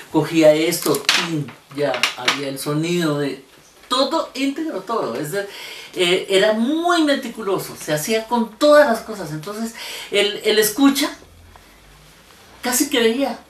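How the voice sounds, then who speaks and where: An older woman reminiscing in an animated, conversational way in an interview. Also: lowish voice. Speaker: radio actress being interviewed. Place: living room. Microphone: handheld microphone held by interviewer. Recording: echoey room, distant, faint noise.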